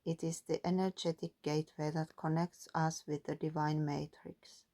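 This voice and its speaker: A woman doing a calm, musing spiritual card reading, partly reading guidebook text aloud. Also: low-pitched, moderate pace. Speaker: tarot and oracle card reader.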